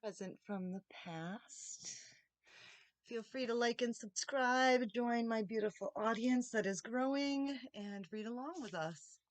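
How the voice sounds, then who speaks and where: A woman reading a children's story aloud as an expressive audiobook narrator. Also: on the high side, slow pace, dry recording. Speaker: audiobook reader, channel host.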